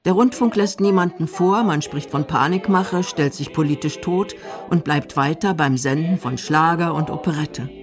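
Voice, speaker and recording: A woman's voice: low voice, normal speed, music in the background. A woman from Germany, reading scripted documentary narration.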